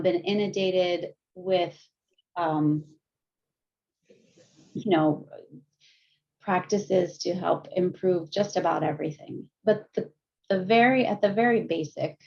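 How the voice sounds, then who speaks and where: A woman's voice: on the low side, slow, gravelly, long pauses, darkish tone, highs cut off. A woman teaching mindfulness to a group on a video call, chatty and self-deprecating, laughing often and speeding up when she's nervous. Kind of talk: guiding a group session over video.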